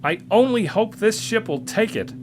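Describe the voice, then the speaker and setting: A man acts out a steel-nerved young rescue pilot in an audio adventure. His voice is raised in pitch and urgent, full of determination. Clear vehicle noise sits behind him. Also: animated, normal speed. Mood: determined. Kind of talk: audio story character acting.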